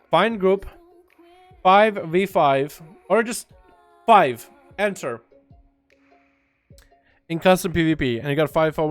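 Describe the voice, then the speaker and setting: A young man from Russia with a slight Russian accent, streaming a game and chatting in a loose, joking way with his viewers. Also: on the high side. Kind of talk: casual livestream chat.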